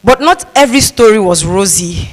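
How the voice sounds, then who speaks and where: A woman's voice: mid-range voice, animated, moderate pace. A woman pastor giving a conference talk, telling stories with humor and repeating phrases for emphasis. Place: conference hall. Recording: long reverb of a large hall.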